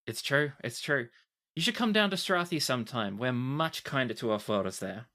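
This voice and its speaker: A game master plays a halfling woman alchemist from a tabletop game, blunt but kindly. Her talk is chatty and folksy, full of exclamations, with a bright tone and animated, swooping pitch. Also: fairly quick. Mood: friendly.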